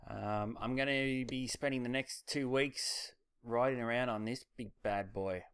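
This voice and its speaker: A man's voice: mid-range voice, fairly even intonation, measured pace, fairly bright tone, clear, resonant tone, no room sound, studio quality. A man with an Australian accent, casually talking a camera through his motorcycle and a planned road trip, rambling with plenty of ums and uhs. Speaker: motorcycle vlogger. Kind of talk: casual vlog narration.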